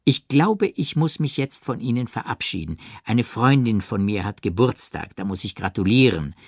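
Haughty princess, acted by a man, audiobook, affected emphasis, cool irony, lively intonation. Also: fairly low pitch, normal speed, breathy edge, no room sound, clean studio sound. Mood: cool, disdainful. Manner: affected, mannered emphasis on chosen words. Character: haughty, cool, ironic aristocratic princess, woman. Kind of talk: audiobook character reading.

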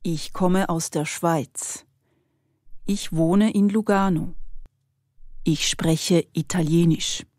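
Very slow woman spelling for learners. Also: on the low side, fairly even intonation, darkish tone, dry recording, clean studio sound. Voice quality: careful, over-articulated. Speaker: language course speaker. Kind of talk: slow scripted language drill.

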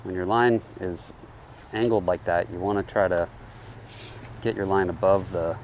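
A man's voice: deep voice, moderate pace, slight background noise, poor audio. A man vlogging while fly fishing on a riverbank, chatting casually to viewers and explaining his casting. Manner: relaxed, chatty, addresses viewers as guys. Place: outdoors on a riverbank. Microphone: head-mounted action camera.

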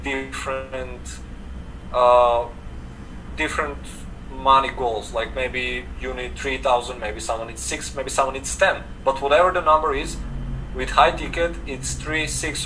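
A man's voice: mid-range voice, measured pace. A young man from Serbia with a Serbian accent, a native Serbian speaker, talking casually as an interview guest, full of "like" fillers. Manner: relaxed, frequent "like" fillers, gestures while talking. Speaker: guest, affiliate marketer. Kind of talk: casual video call interview. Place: home room indoors. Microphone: video call webcam. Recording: distant webcam mic with air conditioner hum.

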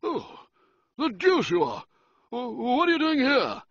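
A flustered storybook old man, full of bluster and stammers. The voice is high and rough-edged, leaping in pitch. The recording is a bit dull. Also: huge pitch swings, a little slow, a bit bright, no room sound, exaggerated voice. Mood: baffled, irritated. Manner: stammering, exclamatory.

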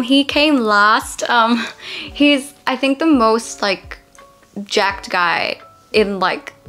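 Hoarse woman in a chatty recap. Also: on the low side, fairly bright tone. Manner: opinionated, full of "like" and "um" fillers. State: raspy, hoarse voice. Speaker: YouTuber recapping a dating show.

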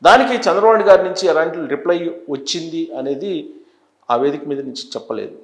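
A man delivers news commentary in a roomy, echoing space. He speaks deliberately and emphatically, repeating phrases to press his point. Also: on the high side, lively intonation. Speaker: news analyst presenter. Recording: echoey, roomy sound.

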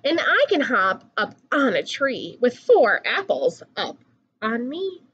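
Young female storybook reader, singsong and expressive, slow pacing, big pitch swings, slightly lo-fi recording. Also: slightly rough. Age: young adult. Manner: animated, singsong rhyming delivery. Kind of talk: expressive picture book read-aloud.

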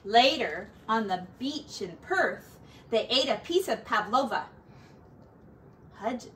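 Animated, high-voiced woman reading a storybook. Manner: expressive, dramatic emphasis with asides to listeners. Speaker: teacher reading aloud. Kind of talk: storybook read-aloud for young children. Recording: slightly distant mic, faint background noise.

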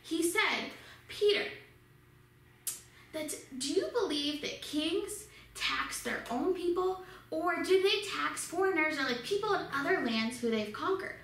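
A young woman telling a Bible story to children in an animated storytelling voice, speaking straight to her young listeners. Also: sits high, measured pace. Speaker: children's church teacher. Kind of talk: Bible lesson for kids. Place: small studio with red backdrop. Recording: echoey room, voice from some distance.

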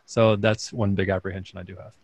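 A man with a lowish voice speaks into a desk microphone on a boom arm. He gives his opinions bluntly and plainly. The sound is dry, with no room echo. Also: level tone, brisk, creaky. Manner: direct, opinionated. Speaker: panelist. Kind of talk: casual video call discussion. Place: home room. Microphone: desk mic on arm.

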